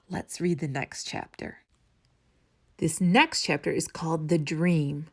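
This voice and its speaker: A woman reading a children's story aloud as a storytime host, with expressive, dramatic emphasis. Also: low voice, slow. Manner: dramatic emphasis, asks listeners questions.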